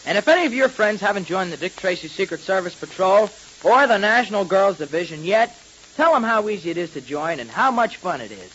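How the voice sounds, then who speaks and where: A young-sounding male actor playing an eager, excitable boy sidekick in a 1930s radio serial. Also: high voice, brisk, husky, exaggerated voice. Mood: earnest, eager. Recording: muffled, noisy 1930s radio recording.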